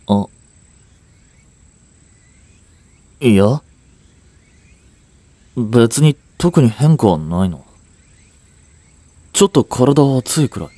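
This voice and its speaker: A man's voice: mid-range voice, dark tone, slightly rough, dry recording. A young man acting a curt, flustered boyfriend who hides his affection, with a hesitant, brusque delivery in a romance audio drama. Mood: gruff, embarrassed. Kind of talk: Japanese romance audio drama aimed at women.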